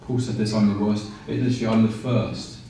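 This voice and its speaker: A man in his forties preaching a sermon with animated gestures and frequent fillers and restarts. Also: lowish voice, fairly quick, slight background noise. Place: small room with blinds, indoors. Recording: very echoey room, from a distance.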